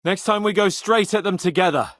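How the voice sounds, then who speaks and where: An actor playing a brash, haughty young medieval nobleman in expressive, sardonic video game dialogue. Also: high-pitched, brisk, no room sound, clean studio sound. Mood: conversational, wry.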